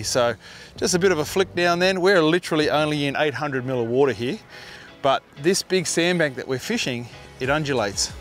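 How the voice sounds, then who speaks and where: A middle-aged man with an Australian accent giving casual, matey instructional fishing commentary outdoors on a boat. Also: mid-range voice. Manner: informal, matey, explanatory. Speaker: fishing show host. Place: outdoors on a small boat on open water.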